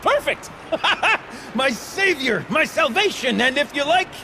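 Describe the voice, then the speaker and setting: A high, wildly swooping voice for an eccentric older man in a video game. He rambles in grand, wordy phrases with theatrical excitability. Clear background noise sits behind him. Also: slow pace, heavily acted. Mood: exuberant. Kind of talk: video game character acting.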